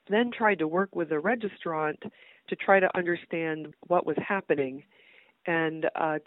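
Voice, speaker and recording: A middle-aged woman with a cold talks as a guest on a tinny phone line. She explains her field research in a brisk, practical way, filling pauses with "uh" and "kind of." The sound is dry and close, with no room around it. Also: fairly low pitch, no room sound. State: has a cold. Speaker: guest, university entomologist. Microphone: phone call.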